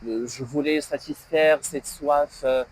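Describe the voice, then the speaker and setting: A man's voice: fairly high pitch, fairly even intonation, slow pace, a little hiss or hum, rough recording. A man from Morocco, talking as a guest in an interview, hesitant and full of filler sounds. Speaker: guest, French teacher and banker.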